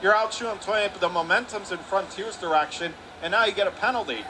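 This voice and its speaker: A man calling live hockey play-by-play on radio, his calls climbing into shouts when the play heats up. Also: sits high. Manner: rapid calls rising to shouts on big plays. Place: hockey arena broadcast booth. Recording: noisy arena background.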